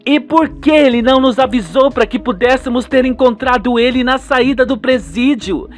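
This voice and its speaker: A man's voice: very high-pitched, moderate pace, faint music behind, voice pushed up. A man voicing a distressed middle-aged mother in a dramatic radio soap style. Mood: anguished, disbelieving. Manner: dramatic, anguished.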